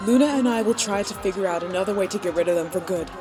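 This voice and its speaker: A woman's voice: medium pitch, quick pace, music in the background, close to an everyday voice. A woman voicing an urgent, authoritative pony princess in a fantasy audio drama. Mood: urgent, commanding.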